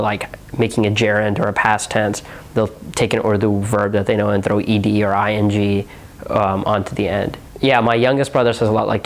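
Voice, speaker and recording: Low, flat-voiced young man. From Houston, Texas. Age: young adult. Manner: relaxed, heavy on filler words like "um" and "like". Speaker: university student interviewee. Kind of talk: casual interview answers.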